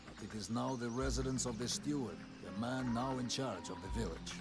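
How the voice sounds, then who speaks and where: An actor voicing a proud warrior knight who always speaks of himself in the third person, in a formal manner, with game music behind. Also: male voice, medium pitch, brisk, close to an everyday voice. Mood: solemn. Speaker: game character.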